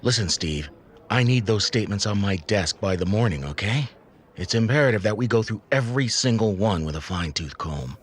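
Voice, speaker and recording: An actor playing a stern, weary, authoritative police chief in an audio drama, firm and no-nonsense. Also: male voice, on the low side, lively intonation, fairly quick, breathy edge.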